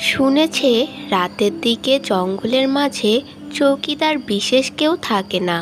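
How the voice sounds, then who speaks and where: Woman, narrator, scripted cartoon storytelling, fairly high voice, faint background music. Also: expressive, unhurried.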